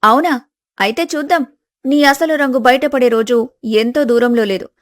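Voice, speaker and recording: A woman's voice: high-pitched, normal speed, no room sound, clean studio sound, lightly acted. A woman voicing a haughty, suspicious elder daughter-in-law with an accusing, sarcastic manner in a village family story.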